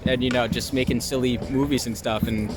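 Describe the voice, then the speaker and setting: A man's voice: medium pitch, fairly even intonation, a little fast, over music. A young American man from Long Island chatting casually and informally as an interviewee, feeling his way through answers. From Long Island, New York, USA. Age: young adult. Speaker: interviewee, actor and filmmaker. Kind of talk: casual street interview chat. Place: outdoors in the city. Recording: noisy outdoor city street with traffic.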